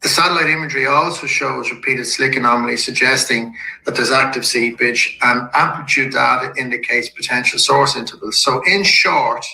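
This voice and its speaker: A man with a slightly croaky voice from a head cold, a company executive calmly walking shareholders through an investor presentation slide by slide. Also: mid-range voice. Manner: measured and businesslike, with occasional restarts. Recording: echoey room.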